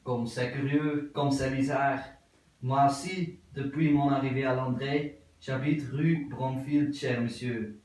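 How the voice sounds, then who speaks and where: A man playing a prim English wife who answers with careful, formal politeness, repeating herself in a slow and even way. The voice rings through a very echoey room and reaches the mic from a distance, as in a theatre scene filmed on a camera. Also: mid-range voice, natural voice. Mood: politely puzzled. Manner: formal, measured, repetitive politeness. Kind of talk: theatre acting of an absurd play.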